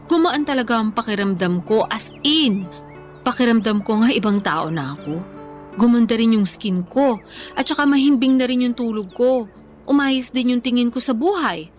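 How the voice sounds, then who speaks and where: Woman acting hopeful young gal in a radio drama, kinda high voice, confiding, soft music bed. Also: close to an everyday voice. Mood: hopeful, grateful. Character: earnest young woman on a weight-loss journey, hopeful. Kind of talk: Tagalog radio drama dialogue, conversational acting.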